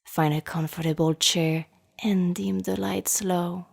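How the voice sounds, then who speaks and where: A woman's voice: fairly low pitch, steady tone, slow pace, vocal fry, darkish tone, heavily acted. A woman playing the sultry narrator of a noir radio drama serial. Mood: sultry, ominous. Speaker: narrator introducing an episode of a serial.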